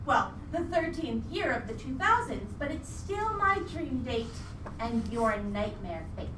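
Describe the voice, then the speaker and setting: A woman's voice: a little high, big pitch swings, a little slow. A woman acting a vengeful, gleefully demonic female ghost in a live radio play, with a theatrical, taunting delivery full of wordplay. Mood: gloating menace. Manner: theatrical, taunting, pun-heavy. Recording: echoey live venue, distant mic, noisy.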